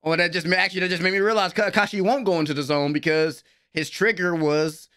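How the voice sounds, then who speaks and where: A young American man giving excited, slangy reaction commentary at home on a close microphone, with an exclamatory manner. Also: high voice, quick pace, clean tone. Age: young adult. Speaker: reaction video host. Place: small room at home. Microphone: close desk microphone with headphones.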